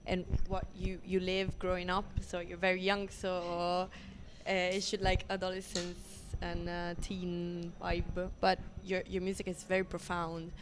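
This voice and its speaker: Slow, halting woman interviewer. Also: lowish voice, monotone, a little hiss or hum. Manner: halting, rephrases questions. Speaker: radio interviewer. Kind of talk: casual interview questions.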